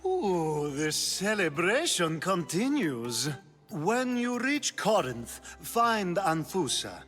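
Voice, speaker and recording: A charming young Athenian rogue in a video game, drawling slow and teasing over faint music. His pitch sits a little high and swings widely as he leans into every suggestive line. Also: big pitch swings, a bit bright, heavily acted. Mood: sly. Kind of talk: video game cutscene acting.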